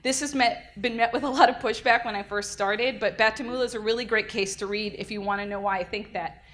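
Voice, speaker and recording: Energetic woman lecturing at a workshop. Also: a little high, brisk. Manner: energetic, lively, self-described loud. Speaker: defense attorney giving a workshop talk. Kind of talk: conference lecture. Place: conference room. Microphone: handheld or podium mic.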